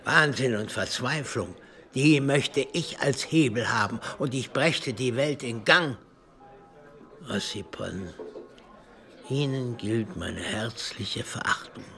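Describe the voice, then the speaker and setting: A man's voice: mid-range voice, animated, slow, creaky, sharp tone, soft background music, full character voice. A man playing a contemptuous, bitter anarchist professor in a radio play, declaiming with scorn and hissed emphasis. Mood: scornful zeal. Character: contemptuous anarchist professor, male, bitter zealot preaching destruction.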